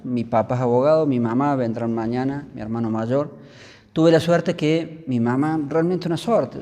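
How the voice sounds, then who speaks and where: A man in his fifties with an Argentine accent, telling his story in a measured, pausing way as a priest being interviewed. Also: medium pitch, warm tone. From Córdoba, Argentina. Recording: long reverberant hall.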